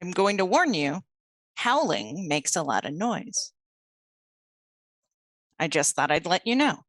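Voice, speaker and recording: Slow, lively-voiced woman running a game. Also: lowish voice, no echo. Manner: slow, deliberate scene-setting. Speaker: storyteller. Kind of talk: narrating a tabletop game.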